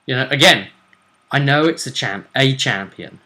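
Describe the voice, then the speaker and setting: A man with a distinctly bright voice at a middle pitch hosts a tabletop role-play show. He talks casually and explains things in a loose, thinking-aloud way, often restarting a thought to put it another way. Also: lively intonation, moderate pace, low-quality recording. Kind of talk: casual discussion of game match-ups.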